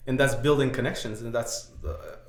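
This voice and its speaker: A young man with a slight Haitian accent talks on a podcast in a middle-pitched voice. He explains at length and leans on "uh" and "right?" fillers. The sound rings in an echoey room. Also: big pitch swings, moderate pace, vocal fry. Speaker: podcast guest. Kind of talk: casual chat.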